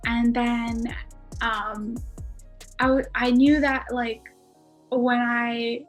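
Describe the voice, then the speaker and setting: This is a chatty young woman, a native Armenian speaker, talking as a podcast guest. Her voice sits a bit higher than usual. She fills her talk with "like" and laughs easily. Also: level tone, very slow, soft background music. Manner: chatty, many "like"s, laughs often. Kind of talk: casual storytelling.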